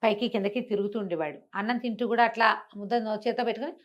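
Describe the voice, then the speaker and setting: A woman's voice: a little high, steady tone, racing, highs cut off. A woman storyteller talking conversationally and expressively, posing rhetorical questions as she tells a moral tale.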